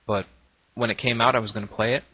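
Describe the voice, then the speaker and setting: A man's voice: medium pitch, steady tone, fast, husky. A man with a slightly nasal voice, as if coming down with a cold, talking earnestly and reflectively in a casual, unscripted monologue as an online gaming video creator. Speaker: YouTube Let's Play creator. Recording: boxy echoing room, dull lo-fi microphone.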